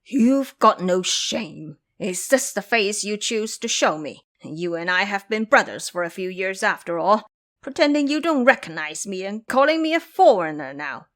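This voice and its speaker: A woman's voice: mid-range voice, sing-song, moderate pace, fairly bright tone. A woman voicing a foolish, pouty male pig monster in an audio drama, sulky and pleading. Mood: sulky complaining.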